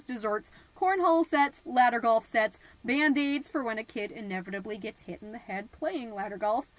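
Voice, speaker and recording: A woman's voice: on the high side, a bit bright, no room sound, rough recording. A woman playing a chatty, fussy middle-aged suburban mom hosting an amateur podcast, folksy and passive-aggressive in a scripted comedic monologue. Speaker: podcast host.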